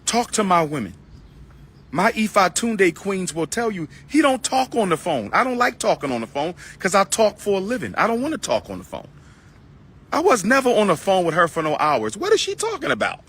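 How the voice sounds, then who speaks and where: A man's voice: sits high, wildly swooping pitch, a little fast, a bit bright, husky. A middle-aged man hosting a livestream, preaching and ranting to viewers he calls brothers and sisters, then chatting with a caller. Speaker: livestream host. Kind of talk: heated livestream rant and caller chat.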